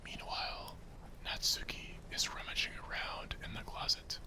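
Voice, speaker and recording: A man narrating a visual novel in a whispered ASMR style. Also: normal speed.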